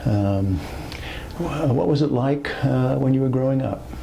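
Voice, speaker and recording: A man's voice: mid-range voice, unhurried, creaky, warm tone, a little hiss or hum, poor audio. A male interviewer asking questions hesitantly, with many fillers.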